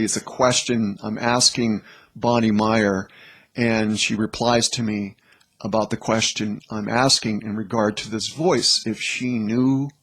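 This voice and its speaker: A man hosting in a casual, informal way, talking to viewers in a rambling, slightly hesitant manner. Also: mid-range voice. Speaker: host presenting clips.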